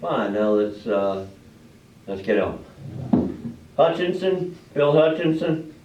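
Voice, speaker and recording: A man's voice: a little high, lively intonation, slow pace. Man playing a small-town official, distant and echoey. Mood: orderly, businesslike. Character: brisk, orderly small-town official running a public drawing. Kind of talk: amateur stage readers theater acting. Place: library room, staged performance. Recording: echoey, muffled, distant room with faint noise.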